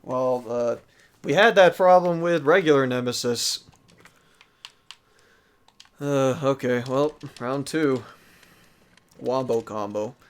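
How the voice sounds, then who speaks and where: A man streaming a video game, reacting loudly, swearing and laughing as he plays. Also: mid-range voice, slow pace, long pauses, clear, resonant tone. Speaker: gamer streaming a playthrough. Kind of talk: live gameplay commentary.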